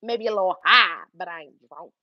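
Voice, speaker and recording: A young woman with a Philadelphia accent telling a story on her livestream, animated and swearing freely between laughs. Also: lowish voice, brisk, no room sound, muffled recording. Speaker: YouTube livestream host. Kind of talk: casual livestream storytelling to chat.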